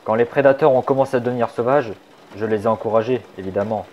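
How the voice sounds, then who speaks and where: A man voicing a sly, wisecracking young male fox in a fan dub of a comic, wry and teasing, turning soft and confiding. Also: mid-range voice, fast talker, natural voice.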